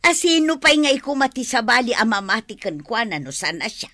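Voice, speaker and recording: A woman's voice: fairly high pitch, sing-song, fairly quick, bright, forceful tone, no echo, clean studio sound. A woman with a Filipino accent playing a loyal, outspoken village wife in a radio drama, assertive and chatty. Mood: defensive.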